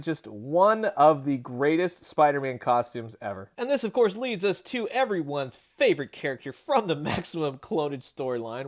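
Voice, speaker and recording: Higher-pitched man reviewing collectible toys. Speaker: host of a toy collecting review show. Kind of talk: casual enthusiastic toy review narration.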